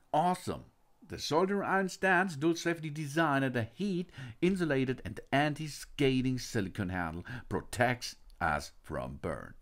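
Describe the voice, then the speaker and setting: A man narrating an unboxing and walkthrough of a tool kit, with non-native phrasing and hesitant fillers. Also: medium pitch. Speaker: product reviewer.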